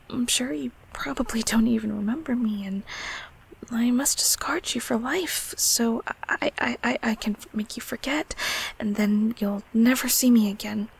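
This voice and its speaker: A woman's voice: a little high, gravelly, a little hiss or hum, rough recording. A woman voicing a nervous, kindly childhood closet creature in an audio roleplay, stammering and apologetic as she speaks to the listener. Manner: flustered, stammering, repeating words.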